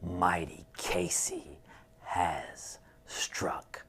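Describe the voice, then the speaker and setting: A man giving a dramatic poetry reading in a theatrical, deliberate way, swinging between hushed tones and high, loud exclamations. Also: level tone, creaky, breathy edge, whispered. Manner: drawn-out, deliberate emphasis. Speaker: sports columnist reciting a poem.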